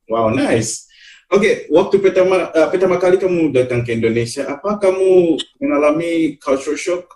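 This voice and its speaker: A man hosts a casual interview in a fairly high male voice. He reacts briefly and encouragingly, then asks his questions, sometimes slipping into English. He sounds a little way off from the microphone. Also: expressive, moderate pace, a little mellow. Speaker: podcast host. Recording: voice distant from the microphone.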